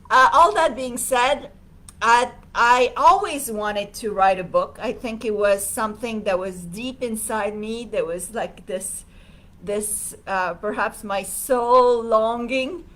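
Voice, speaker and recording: Slow, hesitant woman. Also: a little high, fairly bright tone, low-quality recording. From Serbia. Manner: hesitant, many fillers, reads viewer comments. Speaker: livestream host. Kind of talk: casual livestream talk.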